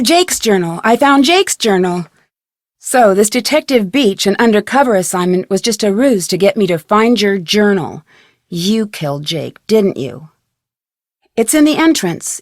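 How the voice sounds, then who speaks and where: A young woman playing a plucky, inquisitive amateur detective in a video game, speaking earnestly with clear, expressive delivery. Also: fairly high pitch, no echo, clean studio sound. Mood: startled, flustered, then grave, accusing, then anxious.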